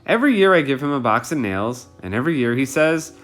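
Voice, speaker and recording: A man's voice: medium pitch, sing-song, quick pace, fairly bright tone, soft background music. A man voicing a confident, matter-of-fact older brother in a children's storybook.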